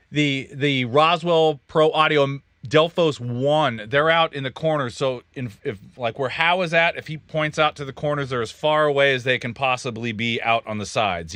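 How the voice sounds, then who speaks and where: Midwestern man, studio host, rambling and jokey, mid-pitched, dry close sound. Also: a bit bright, no room sound. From Kansas, USA. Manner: rambling, self-correcting, jokey. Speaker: recording studio owner and livestream host. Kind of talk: casual technical livestream chat. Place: recording studio control room.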